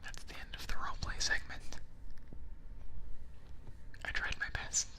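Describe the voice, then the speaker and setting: Softly whispering male ASMR streamer. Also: measured pace. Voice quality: soft, breathy whisper.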